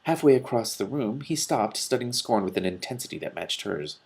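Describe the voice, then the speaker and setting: A man's voice: on the low side, lively intonation, moderate pace, vocal fry, breathy edge. A man reading a novel aloud and breaking off for casual commentary. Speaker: reader and commentator.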